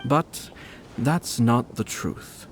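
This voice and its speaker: An actor playing a composed, shrewd young nobleman in a video game, speaking in a measured, polite and formal way. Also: mid-range voice, music in the background. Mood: thoughtful, suspicious. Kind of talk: video game character acting.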